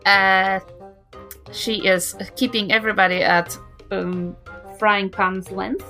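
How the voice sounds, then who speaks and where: A woman's voice: medium pitch, fairly even intonation, slow pace, over music. A woman with a New Zealand accent talking casually as she improvises her part as a tabletop game player.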